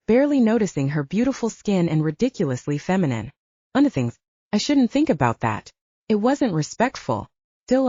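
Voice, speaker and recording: A woman narrates an audiobook in a soft-edged, mellow voice. Her pitch moves in an animated way through the scripted prose. The recording is close and dry, with a somewhat dull top end. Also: slightly rough, dry recording.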